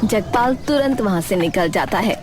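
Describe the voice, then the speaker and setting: A woman's voice: medium pitch, lively intonation, moderate pace, over music. A woman reading scripted narration for a dramatized moral story.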